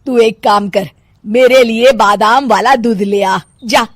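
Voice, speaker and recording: A woman plays a fussy, lonely middle-aged mother-in-law from a cartoon moral story. Her voice is high and swings widely, with a slow, complaining delivery. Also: animated, dry recording, studio quality. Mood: bossy, scheming. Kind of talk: animated moral-story character acting.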